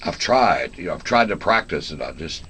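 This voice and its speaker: A man's voice: low voice, lively intonation, fairly quick, a bit bright, a little hiss or hum. An American man hosting a radio show, chatting informally as he interviews guests and promotes events, asking plenty of questions. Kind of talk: casual interview and on-air promotion. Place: radio station.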